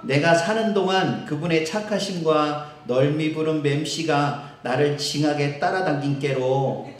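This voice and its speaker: Pastor doing a hearty Jeolla-drawl storyteller. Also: male voice, on the high side, a little slow, natural voice. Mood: folksy. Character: folksy man reading a psalm in rural dialect. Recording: echoey church hall.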